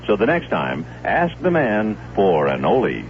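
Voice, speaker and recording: A man's voice: on the low side, wildly swooping pitch, unhurried, slight background noise. A man reading a scripted radio commercial in a friendly, folksy sales patter. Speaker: commercial announcer. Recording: muffled 1970s radio broadcast.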